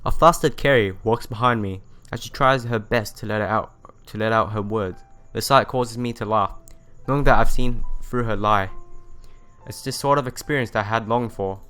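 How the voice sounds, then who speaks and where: A man's voice: fairly low pitch, normal speed, a bit bright, clean tone. A man reading visual novel narration aloud as a let's player, telling it expressively and sometimes stumbling and rereading phrases. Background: music.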